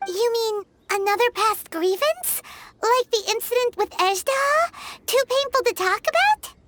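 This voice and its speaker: A woman voicing a tiny, excitable female fairy companion in a video game, asking questions eagerly. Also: extremely high pitch, measured pace, noisy background. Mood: pleading. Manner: excitable, inquisitive.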